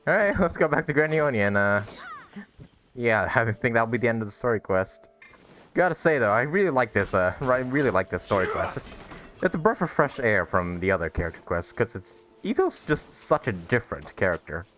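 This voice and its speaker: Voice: mid-range voice, big pitch swings, brisk, a bit bright, soft background music. A game streamer giving casual, amused running commentary and reading along with the dialogue.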